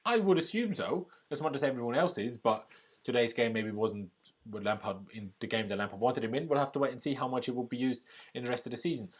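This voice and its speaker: A man's voice: mid-range voice, fast. A man from Austria hosting a football fan live stream, chatting casually and reading out comments and donations in an upbeat way. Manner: chatty and upbeat, often says "bloody brilliant".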